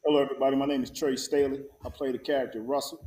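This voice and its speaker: A man's voice: mid-range voice, fairly even intonation, rapid-fire, mellow. A man, a stage actor and guest, talking casually in an interview. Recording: echoey room.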